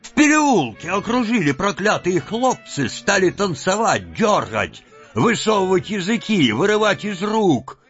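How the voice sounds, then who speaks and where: A man's voice: high voice, big pitch swings, measured pace, fairly bright tone, highs cut off, faint music behind, pitched above the performer's own voice, full character voice. A man voicing frightened village constables who complain and plead with their superior.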